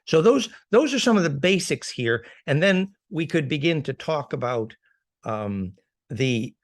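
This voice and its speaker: A man presents an informal lecture with a lively, widely swinging pitch that sits a little high. He is assertive and emphatic, sometimes halting and restarting his phrases. The sound is dry and close. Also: no echo. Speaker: presenter of fringe physics theories.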